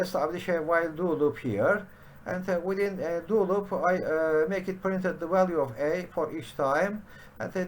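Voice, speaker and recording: Man, middle-aged, halting with many fillers, faint background hiss, home study webcam. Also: on the high side, darkish tone. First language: Turkish. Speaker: programming teacher. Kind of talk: screen-share coding tutorial.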